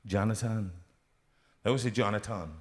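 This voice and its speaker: A man's voice: lowish voice, fairly even intonation, normal speed. A man, a singer, chatting casually on a live stream from a music room, rambling with many fillers and restarts.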